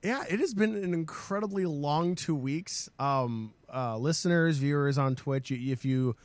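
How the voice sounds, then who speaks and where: A man hosting a gaming podcast in an upbeat, hype-building way, his voice hoarse and strained. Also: a little high, a little slow, no room sound. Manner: energetic, hypes up the audience. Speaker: podcast host.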